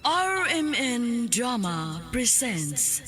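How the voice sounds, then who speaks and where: A woman announcer with a Filipino accent introduces a radio drama. Her scripted delivery is slow and animated, with music clearly playing behind her. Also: a little high.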